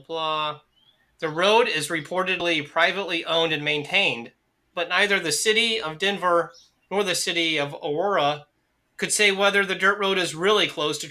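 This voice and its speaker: Stuffed-up man, bright voice. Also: a little high. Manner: rambling, joking, lots of ums and restarts. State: stuffed up, congested. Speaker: podcast co-host. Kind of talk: casual banter. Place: small hot room at home.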